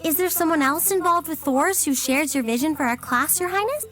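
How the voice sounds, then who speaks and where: A woman's voice: high-pitched, a little fast, fairly bright tone. An actress playing a polite, inquisitive young female student in a game cutscene, speaking with formal courtesy. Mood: curious. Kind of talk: scripted game cutscene acting. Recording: music behind the voice.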